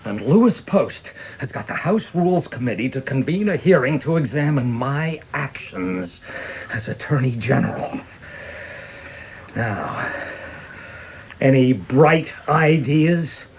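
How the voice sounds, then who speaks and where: A blustering, high-voiced government chief. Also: male voice, lively intonation, darkish tone, breathy edge, exaggerated voice. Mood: angry, demanding. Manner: blustering, halting oratory. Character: blustering American Attorney General, angry, stammering under pressure. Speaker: actor in a radio play. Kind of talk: dramatic radio play acting.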